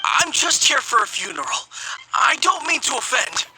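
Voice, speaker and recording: A man's voice: very high voice, big pitch swings, normal speed, sharp tone, slight background noise, full character voice, rough recording. A man voicing a timid, easily frightened townsman in an anime-style video game, stammering and pleading. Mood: startled, wary. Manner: stammering, exclamatory, polite pleading.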